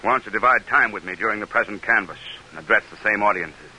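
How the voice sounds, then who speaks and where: An American actor playing a proud, shrewd middle-aged senator in an old-time radio drama. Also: male voice, on the low side, quick pace, sharp tone, a little hiss or hum, low-quality recording. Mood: worried, calculating. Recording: vintage radio broadcast recording.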